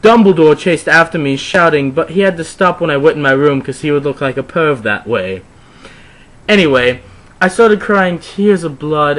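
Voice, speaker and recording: A man's voice: on the high side, a bit bright, rough recording. A man doing a wry, theatrical dramatic reading of a comically bad story, with mocking asides as narrator. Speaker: narrator reading fan fiction aloud.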